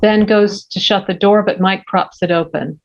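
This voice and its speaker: A woman's voice: medium pitch, fairly even intonation, moderate pace. A woman reading scripted stage directions as the narrator of a table read. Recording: dull, lo-fi audio.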